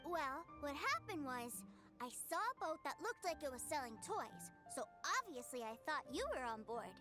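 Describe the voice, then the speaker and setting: A woman voicing a cheerful, naive little boy with a bright, excitable voice in a video game cutscene. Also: high-pitched, moderate pace, over music. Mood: earnest.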